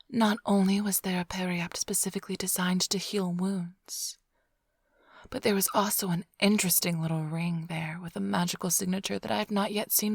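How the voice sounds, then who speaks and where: A woman acts out a seductive vampire thief for an ASMR roleplay. She speaks in a breathy whisper with an unhurried, teasing drawl and a smug edge. The sound is intimate and dry, right up on the microphone. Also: lowish voice, no room sound, full character voice. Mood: gloating, playful. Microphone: close-up studio microphone, dry.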